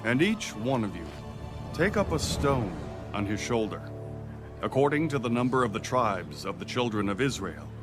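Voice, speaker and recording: An actor playing an earnest Israelite leader giving solemn orders in a dramatized audio Bible. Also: male voice, medium pitch.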